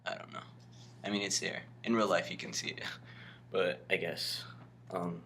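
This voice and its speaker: A man's voice: low-pitched, little pitch movement, measured pace, sharp tone, whispered. A young man talking casually to his camera in a vlog, rambling with lots of ums and likes. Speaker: vlogger.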